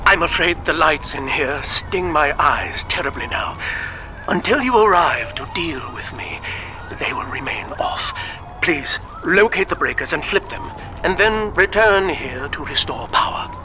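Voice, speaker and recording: A doomed gentleman scientist for a video game, speaking in a somewhat high male voice. He is measured, courteous and resigned to his death, with clear ambient noise around him. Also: expressive. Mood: courteous, weary. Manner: formal, measured, polite. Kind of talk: recorded message in a video game.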